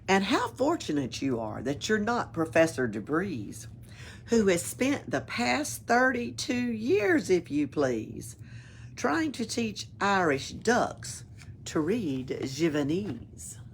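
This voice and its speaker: Slow, very expressive woman reading a storybook. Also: lowish voice, a little hiss or hum. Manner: expressive, playful delivery with asides. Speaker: story reader at a library. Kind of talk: children's storybook read-aloud. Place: library.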